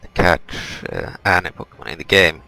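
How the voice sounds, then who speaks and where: Man, rock-bottom pitch, bright forceful edge, casual game commentary, halting, explanatory. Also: expressive, creaky, faint music behind, low-quality recording. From Europe. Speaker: gaming video host. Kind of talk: casual let's play commentary.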